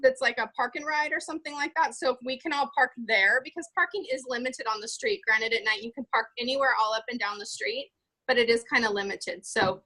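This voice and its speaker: Bright-voiced woman running a meeting. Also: fairly high pitch, rough recording. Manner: chatty, lists items, frequent fillers. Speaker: event organizer leading a meeting. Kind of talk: casual planning meeting.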